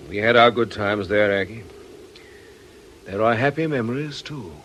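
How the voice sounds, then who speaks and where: A man's voice: on the low side, normal speed, fairly bright tone, a little hiss or hum, close to an everyday voice, poor audio. A man playing a concerned, probing middle-aged old friend in a radio drama, earnest and questioning. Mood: gentle.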